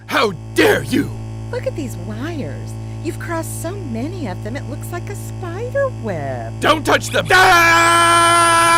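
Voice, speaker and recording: A woman's voice: high voice, full character voice, low-quality recording. A woman playing a giddy, bickering character in a slapstick radio sketch, exclamatory and exaggerated, with music behind her. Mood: shrill, mocking. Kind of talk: zany comedic radio acting.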